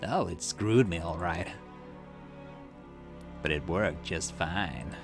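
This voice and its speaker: A man's voice: sits low, slow pace, vocal fry, over music, voice pushed down, heavily acted. A man reading game dialogue aloud, voicing a bitter, obsessive grieving man who snarls defensively and builds to outbursts. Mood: brooding.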